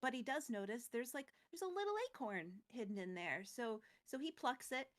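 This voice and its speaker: Woman, fairly high voice, casual storytelling, playful delivery, co-host recapping fantasy lore. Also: animated, normal speed. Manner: playful, acts out quotes and sound words.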